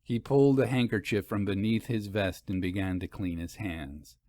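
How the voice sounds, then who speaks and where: A man's voice: fairly low pitch, normal speed, mellow, no echo. A man reading a mystery story aloud as scripted narration.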